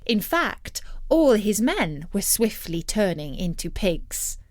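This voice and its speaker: A woman narrating a scripted story in an expressive, measured storytelling manner. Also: mid-range voice, no room sound, clean studio sound.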